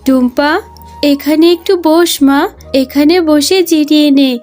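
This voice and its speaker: Woman voicing a high-pitched, tender jackal mother. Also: fairly even intonation, unhurried, darkish tone. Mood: tender, worried. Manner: tender, pleading, earnest. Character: poor, gentle, devout jackal mother. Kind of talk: children's cartoon voice acting. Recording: music under the voice.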